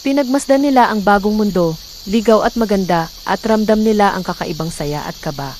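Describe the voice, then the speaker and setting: A woman narrating a folktale from a script in a dramatic, deliberate storytelling manner. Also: medium pitch, a little mellow. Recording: clear background noise, nature sound effects.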